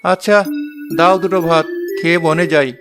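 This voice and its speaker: A man's voice: on the high side, fairly even intonation, moderate pace, over music, lightly acted. A man voicing a kind but weary village woodcutter in a children's cartoon. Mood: weary, grumbling.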